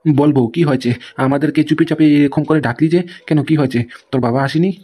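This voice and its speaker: A man's voice: a little high, fast talker, dark tone, close to an everyday voice. A man playing a stubborn, grumbling family man with a blunt, repetitive way of talking in a comedy cartoon. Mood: curious. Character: stubborn, grumbling male head of a squabbling family. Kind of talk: Bengali comedy cartoon dialogue.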